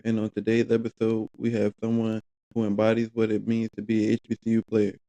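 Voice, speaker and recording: A man hosts a podcast in a laid-back, casual way, his low voice gravelly and very dark. He keeps a flat, even tone while he asks short questions. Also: a little fast, rough recording. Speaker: podcast host. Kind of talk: casual interview hosting.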